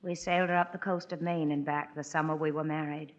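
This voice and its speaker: A woman's voice: sits low, little pitch movement, a little fast, natural voice. An actress playing a spirited rich young society woman in a 1940s radio play, wistful and teasing. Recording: dull old radio broadcast.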